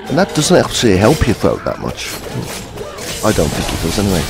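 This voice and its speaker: A man in his fifties with a gruff voice, hoarse from a cold, chatting casually and self-mockingly while playing a game. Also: on the low side, huge pitch swings, a little fast. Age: 50s. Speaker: gaming channel host. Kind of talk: casual let's-play commentary. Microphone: headphones. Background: game music.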